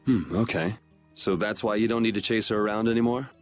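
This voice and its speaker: A man acts out a young swordsman hero from a video game. His voice sits fairly low, and he talks in a blunt, offhand, dryly confident way. Light music plays behind him. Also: brisk, close to an everyday voice. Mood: wry, relaxed.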